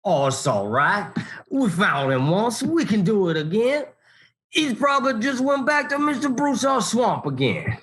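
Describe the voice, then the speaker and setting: A man's voice: high voice, sing-song, measured pace, a bit bright, full character voice. A man playing a kindly, folksy old grandfather with a Southern US accent in an audio drama. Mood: reassuring.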